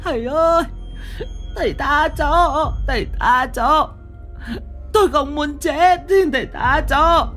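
A possessed village woman shrieking and wailing in agony, as a man puts her on in a storybook horror tale. The voice jumps shrill and high above his own and sounds far off, dragged out and desperate, under faint background music. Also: slow pace, pushed and bright, exaggerated voice. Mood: begging.

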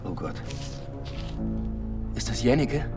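A man's voice: mid-range voice, big pitch swings, takes it slowly. An actor playing a brooding police detective in a radio drama, terse and muttering as he reasons. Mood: tense, pensive. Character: brooding male police detective at a murder scene. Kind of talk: German radio drama acting. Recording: music behind the voice.

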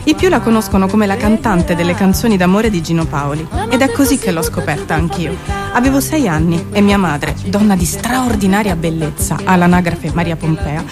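A woman giving an expressive, measured theatrical spoken-word reading with dramatic pauses. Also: lowish voice. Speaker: performer reading her own poetic prose. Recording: music behind the voice. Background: music.

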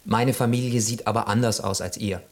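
A man's voice: lowish voice, steady tone, fairly quick. An actor playing a naive, pampered male cat in a radio play, speaking in an earnest, slightly stiff way. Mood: puzzled, naive. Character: naive, earnest, pampered tomcat newly in love. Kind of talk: German radio play acting.

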